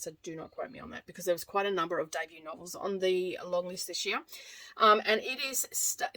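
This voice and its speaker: A woman's voice: medium pitch, fairly bright tone, rough recording. A woman with an Australian accent reviewing books in an informal, rambling way, often correcting herself as she goes. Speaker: book reviewer, vlogger. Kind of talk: casual chat to camera, book review.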